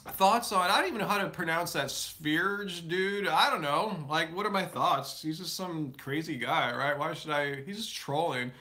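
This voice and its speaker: A man's voice: fairly high pitch, moderate pace, hardly any pauses, pushed and bright. A man hosting a live stream, answering viewer questions in a casual, rambling way with many fillers and restarts. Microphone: live stream setup.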